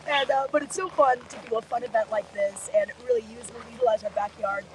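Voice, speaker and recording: A woman's voice: fairly high pitch, sing-song, fairly quick. A young woman hosting an interview outdoors at a snowy ski base, holding a handheld mic and speaking in a bubbly, promotional way. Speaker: host. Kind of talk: upbeat event interview. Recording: faint outdoor ambient noise.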